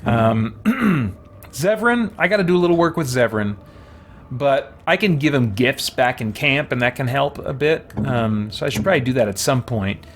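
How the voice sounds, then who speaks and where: A man's voice: medium pitch, lively intonation, normal speed, slight background noise. A man doing casual game livestream commentary, thinking aloud and muttering short remarks to himself as he chats to viewers.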